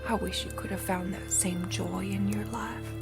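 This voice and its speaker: An actress playing a devoted, forgiving wife from beyond death, tender and earnest in an audio drama. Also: female voice, medium pitch, fairly even intonation, moderate pace, gravelly, natural voice. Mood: tender conviction. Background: music.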